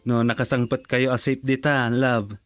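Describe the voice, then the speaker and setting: A man's voice: medium pitch, level tone, normal speed, natural voice. A man playing a tender, grateful boyfriend in an Ilocano radio drama, with an Ilocano Filipino accent. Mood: affectionate.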